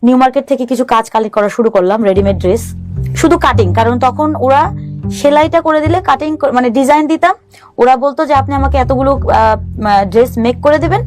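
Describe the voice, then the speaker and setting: A woman in a fairly high voice and tells her own story with earnest, halting care. She often breaks off and restarts her sentences. Soft music plays faintly behind her. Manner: earnest and halting, with restarts and English words mixed in. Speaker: guest sharing her life story. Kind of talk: personal storytelling on a talk show.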